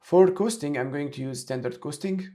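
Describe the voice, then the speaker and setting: A man giving a step-by-step software tutorial, talking through each action aloud as an instructor. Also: a little high, normal speed. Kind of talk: screen-share tutorial, explaining step by step.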